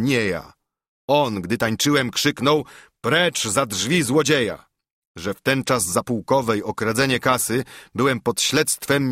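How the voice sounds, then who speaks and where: A haughty, greedy army major from a storybook, acted out by a male reader. He blusters and sneers with angry menace. His voice swings widely in pitch, close and dry. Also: a bit bright, no echo.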